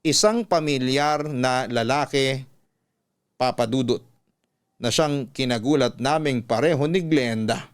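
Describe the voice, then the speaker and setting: A Filipino man with a medium-pitched voice narrates in classic radio storyteller style. His delivery is measured and earnest, shaped as a scripted dramatic reading. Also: clean tone.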